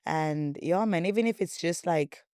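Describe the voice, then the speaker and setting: A young woman with a South African accent and a deep voice, hosting her podcast in a rambling, repetitive, chatty way. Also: moderate pace, warm tone, no room sound, clean studio sound. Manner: rambling, repetitive, chatty, says she talks a lot. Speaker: podcast host. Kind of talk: casual chat to viewers.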